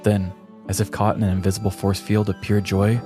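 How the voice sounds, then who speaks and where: A man narrating a scripted story as a podcast host, in a measured, dramatic manner. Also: lowish voice, little pitch movement. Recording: music behind the voice. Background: background music.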